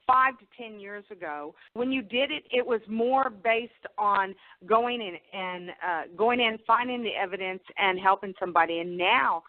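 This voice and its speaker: A woman's voice: mid-range voice, normal speed, few pauses. Woman host on a phone-like line. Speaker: show host. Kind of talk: casual conversational interview. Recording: narrow phone-like radio sound.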